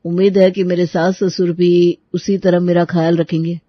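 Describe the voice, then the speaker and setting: A man playing a smooth-talking, entitled, money-minded young groom in a story drama. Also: high-pitched, level tone, normal speed, dry recording, muffled recording, natural voice. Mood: slyly polite.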